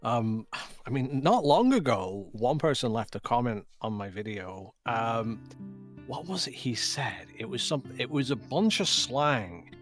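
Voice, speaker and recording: A man's voice: medium pitch, expressive, unhurried. A man talking casually as an interview guest, with dry, self-deprecating humour. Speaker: guest voice actor. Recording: clear music under the voice.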